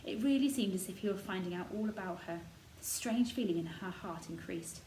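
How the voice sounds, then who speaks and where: A woman's voice: medium pitch, a little fast, warm tone. Woman reading a storybook, echoey room. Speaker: storybook reader. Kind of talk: scripted narration of a children's novel. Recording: roomy echo, noticeable background noise.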